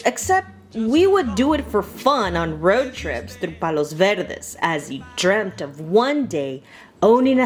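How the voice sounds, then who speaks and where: Low-voiced woman telling a story over music. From United States, Southern California. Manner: theatrical, conversational, with dramatic pauses and Spanish asides. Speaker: storyteller host. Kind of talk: personal scripted storytelling. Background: music playing behind the voice.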